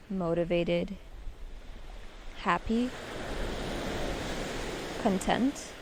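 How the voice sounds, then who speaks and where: A woman leading a guided meditation in a soothing, measured way, leaving pauses between short instructions. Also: on the low side, gravelly, background noise.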